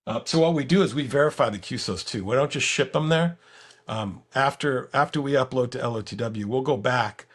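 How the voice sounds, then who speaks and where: A man with a gravelly, husky voice giving a casual software walkthrough as the guest, halting and repeating words as he explains. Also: medium pitch. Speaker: software creator giving a product demo as guest. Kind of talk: casual screen-share walkthrough.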